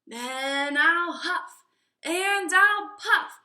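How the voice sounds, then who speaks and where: A woman voicing a menacing, blustering male pig villain with grunting, drawn-out threats from a children's storybook. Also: very high voice, lively intonation, pushed and bright, voice pushed up, full character voice. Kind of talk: children's storybook read-aloud. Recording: echoey room.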